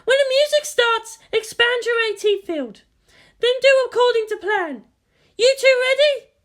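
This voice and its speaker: Teen girl ace pilot: brash, boastful, loud, pitched very high. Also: unhurried, exaggerated voice. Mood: fired up. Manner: boastful, excited, emphatic.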